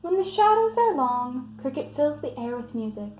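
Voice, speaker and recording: A woman with a mellow, fairly high voice presents a children's book in a scripted read-aloud style. The room adds noticeable echo, with faint noise underneath. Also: very animated, moderate pace.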